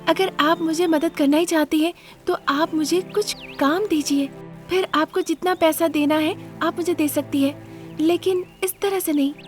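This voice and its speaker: Self-sacrificing young daughter-in-law for a moral tale female reader acting, high-pitched, respectful, pleading, music underneath. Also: fairly even intonation, normal speed. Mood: earnest refusal. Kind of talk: moral story character voice.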